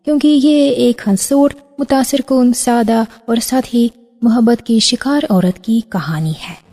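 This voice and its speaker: A woman's voice: on the high side, a little slow, mellow. A woman announcer giving a formal, scripted introduction to a radio drama. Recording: archival radio, long reverb, faint music.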